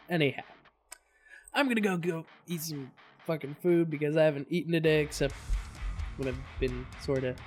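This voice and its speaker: A man's voice: on the high side, a little mellow. A man hosting a reaction video, chatting casually to viewers and swearing freely.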